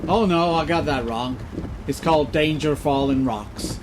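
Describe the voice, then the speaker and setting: A man's voice: on the high side, measured pace, noisy background, natural voice. A man playing a daft, literal-minded brother in a comic audio drama, speaking in a naive, earnest manner.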